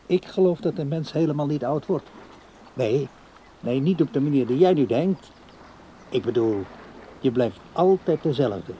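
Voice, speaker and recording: A mellow-voiced, needy old man. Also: on the high side, lively intonation, measured pace, long pauses, slight background noise. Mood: pleading, resigned. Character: insistent, needy old man courting a much younger woman. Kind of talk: naturalistic radio play dialogue.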